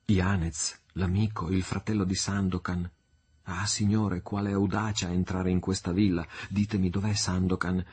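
Un uomo che interpreta una giovane nobildonna appassionata. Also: male voice, deep voice, level tone, moderate pace, a little mellow, slightly rough. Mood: anxious. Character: passionate young noblewoman, lovestruck and fierce.

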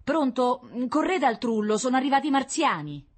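A man's voice: extremely high pitch, lively intonation, moderate pace, pitched above the performer's own voice. A woman voicing a flustered young male bar waiter, protesting and bewildered. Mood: alarmed.

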